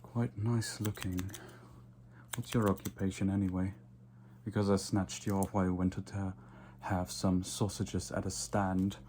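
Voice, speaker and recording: Man playing a low, murmuring, haughty vampire barber. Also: little pitch movement, background noise. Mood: mildly snobbish. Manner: unhurried, murmured, drifting into whispers. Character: casual, haughty, fussy male vampire barber. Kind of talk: ASMR roleplay, soft close personal attention.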